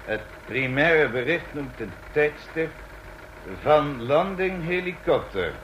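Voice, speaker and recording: An actor playing a respectful, efficient technical assistant in a Dutch radio drama, polite and formal. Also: male voice, fairly high pitch, lively intonation, slow, a bit bright, dull audio. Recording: noisy vintage radio recording.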